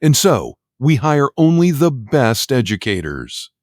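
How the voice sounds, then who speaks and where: A man acts out a pompous university official in an audio play. His pitch swoops widely as he weighs up an applicant in formal, deliberate, condescending phrases. The sound is close and dry. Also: sing-song, no room sound. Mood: pompous, appraising. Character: pompous, prejudiced middle-aged male university job interviewer.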